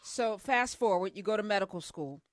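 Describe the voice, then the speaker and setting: A woman hosting a talk show, welcoming and questioning a guest in an energetic, conversational way. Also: mid-range voice, moderate pace, dry recording. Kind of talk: interview hosting.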